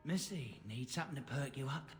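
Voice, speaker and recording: A man's voice: medium pitch, expressive, a little fast, soft background music, lightly acted. Actor as a cheeky peddler man, reverberant. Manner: casual, slangy. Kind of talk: dramatic video game dialogue. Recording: hall reverb.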